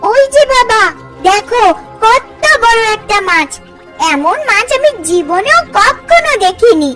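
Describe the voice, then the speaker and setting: A woman playing a wide-eyed, excited village child in a cartoon fairy tale, full of exclamations. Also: very high voice, slow pace, over music, exaggerated voice. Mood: excited wonder.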